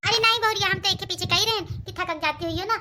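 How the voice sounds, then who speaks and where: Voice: extremely high pitch, fast, bright, forceful tone, a little hiss or hum, exaggerated voice. A performer voicing a nagging, teasing old village mother-in-law in a comic cartoon, with a rural Uttar Pradesh accent. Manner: nagging, rustic.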